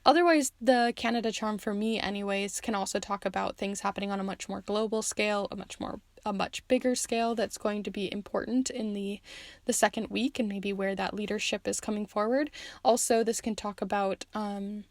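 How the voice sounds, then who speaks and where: Woman, medium pitch, gravelly fry, tiring voice, chatty encouraging tarot host, informal. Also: steady tone, moderate pace, no echo. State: voice starting to give out. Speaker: host, tarot and charm reader. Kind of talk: casual pick-a-card reading, addressing viewers.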